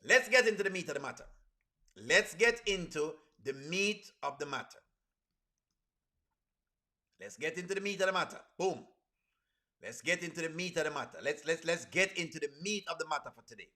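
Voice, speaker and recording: A man with a Caribbean accent and a fairly high, very bright, forceful voice. He chats casually as a livestream host reacting along with viewers, and often says a phrase over again for emphasis. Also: lively intonation, moderate pace, long pauses.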